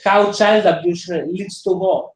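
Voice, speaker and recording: A man's voice: high voice, steady tone, unhurried, reverberant, poor audio. A man from Greece with a Greek accent, speaking as an interview guest, hesitant and full of fillers, often repeating himself. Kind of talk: casual interview conversation.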